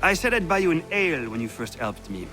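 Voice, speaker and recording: An actor playing an outspoken, defiant colonial patriot in a video game, speaking in an earnest, declamatory way. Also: male voice, a little high, very animated, quick pace. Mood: earnest, proud. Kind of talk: video game cutscene acting. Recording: game music behind the voice.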